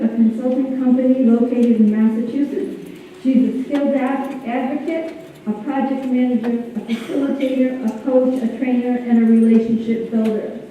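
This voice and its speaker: A woman reading a formal scripted introduction of a guest speaker at a podium, somewhat halting and stumbling over words. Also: a little high, narrow, tinny audio. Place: large echoey hall at a college ceremony. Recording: very echoey hall, distant, thin, faint noise.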